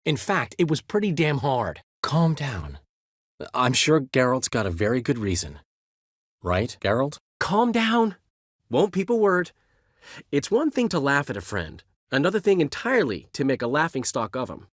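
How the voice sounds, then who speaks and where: Flamboyant game bard, talks a lot, lively up-and-down pitch. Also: male voice, moderate pace, dry recording, clean studio sound. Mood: chatty. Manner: theatrical, witty, talkative. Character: flamboyant, chatty middle-aged male bard and poet. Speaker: game character. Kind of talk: video game dialogue.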